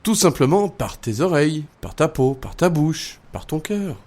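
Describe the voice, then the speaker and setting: Man acting an encouraging mentor, lively intonation. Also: fairly high pitch, normal speed, close to an everyday voice. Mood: reassuring, encouraging. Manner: encouraging, instructive. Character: Luc, calm reassuring blind man mentoring a girl. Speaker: actor in an amateur audio fiction. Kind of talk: audio drama dialogue.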